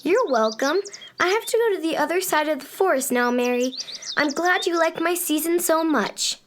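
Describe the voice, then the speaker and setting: A woman's voice: extremely high pitch, lively intonation, normal speed, fairly bright tone, slight background noise. An actress playing a sunny, self-satisfied young girl who rules summer in a children's radio drama, breezy and gushing.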